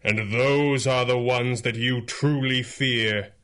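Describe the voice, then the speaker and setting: An implacable masked avenger in a period radio adventure. He speaks slowly in a mid-pitched voice, each phrase deliberate and grave. His manner is cold menace, like one who holds power over minds. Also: steady tone, a bit bright. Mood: grave, accusing. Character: stern, implacable masked hero with mind powers. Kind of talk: 1930s-set radio superhero drama acting.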